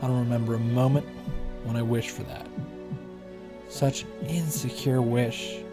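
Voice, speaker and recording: Male streamer, mid-range voice, reads visual novel text aloud with live reactions, game music clearly behind him. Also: medium pitch, steady tone, unhurried.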